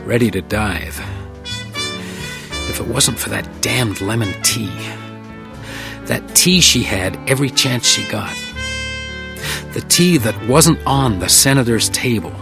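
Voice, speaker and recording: A composed hotel detective with a low voice. He is world-weary and measured, pausing as he weighs things in radio noir style. Music plays clearly under him. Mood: brooding. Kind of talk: radio crime drama, noir first-person narration and dialogue.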